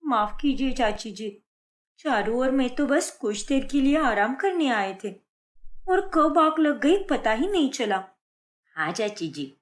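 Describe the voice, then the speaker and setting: A woman voicing a meek, weary young niece in a story cartoon. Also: medium pitch.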